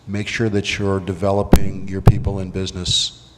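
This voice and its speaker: A man on a conference panel giving earnest, measured career advice. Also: deep voice, completely flat delivery, loud music behind the voice. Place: conference hall. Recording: roomy hall with faint noise.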